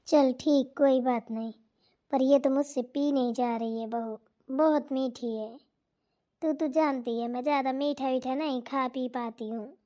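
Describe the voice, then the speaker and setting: A woman's voice: high-pitched, steady tone, dark tone. A woman voicing a fussy, scolding older mother-in-law in a cartoon. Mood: grumbling. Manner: scolding, complaining, drawn-out. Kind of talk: cartoon voice acting.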